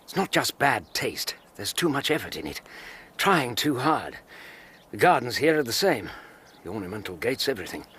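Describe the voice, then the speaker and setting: An actor playing a dry, perceptive English doctor, a detective's loyal companion, reasoning aloud in a radio drama with a husky voice. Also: male voice, medium pitch, big pitch swings, moderate pace, a bit bright, exaggerated voice. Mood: thoughtful deduction. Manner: thoughtful, wry, reasoning aloud. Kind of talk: British radio drama acting.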